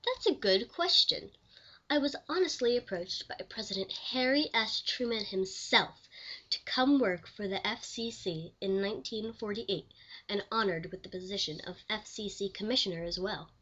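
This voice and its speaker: A woman playing an elderly, proud pioneering lawyer and commissioner in a scripted interview, speaking formally and deliberately. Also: medium pitch, bright, forceful tone, dull audio. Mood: earnest. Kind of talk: scripted mock interview, reenacted historical figure.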